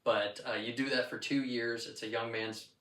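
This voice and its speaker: Bright-voiced young pastor, echoey room. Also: male voice, medium pitch, normal speed. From Texas, USA. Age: young adult. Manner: relaxed storyteller, easygoing and joking. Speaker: pastor and podcast guest. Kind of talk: casual interview talk. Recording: roomy echo, mic at a distance.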